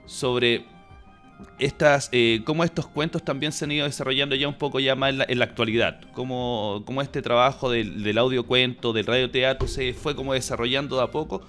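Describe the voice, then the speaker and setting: A man with a Chilean accent hosting a casual interview, phrasing haltingly with frequent tag questions. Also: medium pitch, steady tone, fairly bright tone, soft background music. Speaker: interviewer and host.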